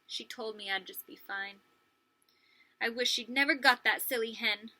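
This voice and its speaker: A woman preschool teacher reading a story aloud to young children, expressive and pausing to ask them questions. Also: on the high side, poor audio. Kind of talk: animated children's read-aloud with questions to listeners.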